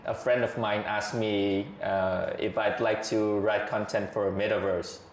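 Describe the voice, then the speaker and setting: A man, a podcast guest talking in a measured, thoughtful way with frequent hesitations. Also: on the low side, level tone, a little hiss or hum. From Vietnam. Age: 30s. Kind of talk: casual interview chat. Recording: echoey room.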